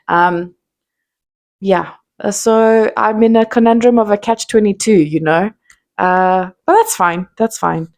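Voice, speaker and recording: A woman's voice: medium pitch, unhurried, darkish tone. A woman in her thirties with a South African accent, musing candidly to camera in an unscripted vlog, with lots of ums and restarts. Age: 30s. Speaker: vlogger. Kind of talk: unscripted reflective monologue to camera.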